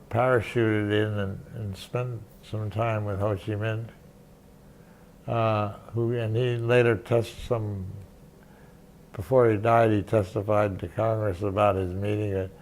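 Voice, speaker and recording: An older man, a veteran journalist, recounting memories in an interview, halting and restarting often. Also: fairly low pitch, darkish tone, poor audio. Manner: halting, many restarts and fillers. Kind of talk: reminiscing interview.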